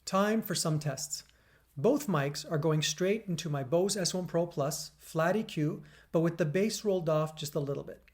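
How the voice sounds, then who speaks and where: A man's voice: a little high, normal speed, a little mellow. Upbeat man reviewing audio gear. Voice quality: smooth radio-DJ delivery. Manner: upbeat, punchy, with wry quips. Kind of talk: scripted product review narration. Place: indoors beside a PA speaker. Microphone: USB condenser mic.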